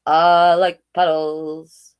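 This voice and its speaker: A woman hosting a children's storytime online, reading deliberately and adding casual, chatty asides. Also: low voice, flat delivery, clear, resonant tone. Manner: slow, deliberate read-aloud delivery with chatty asides. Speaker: drag king storytime host.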